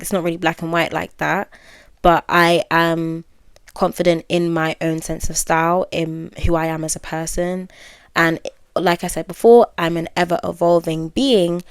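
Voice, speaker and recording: Young woman, well-spoken, low flat voice, smooth, dry close sound. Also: no room sound. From United Kingdom. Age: 20s. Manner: candid, musing, many fillers and self-corrections. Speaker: podcast host. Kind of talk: reflective solo podcast monologue.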